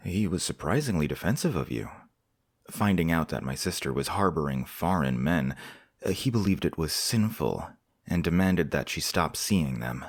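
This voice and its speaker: An actor playing a courteous visiting prince in an audio drama, measured and formal in manner. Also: male voice, a bass voice, expressive, no echo, clean studio sound, heavily acted. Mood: grave, measured. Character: courteous, composed visiting foreign prince, a candid friend.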